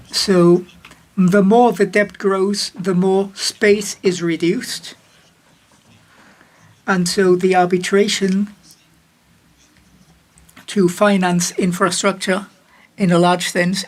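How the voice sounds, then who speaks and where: A woman working as a simultaneous interpreter for a conference chair. Her voice sits low and barely moves in pitch. She keeps a measured pace, with occasional restarts and self-corrections as she follows the speaker. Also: flat delivery, darkish tone, poor audio.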